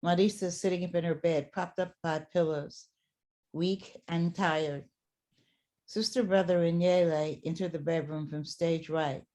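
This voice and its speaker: A woman's voice: lowish voice, fairly even intonation, a little slow, mellow. A woman reading stage directions as scripted narration for a staged play reading.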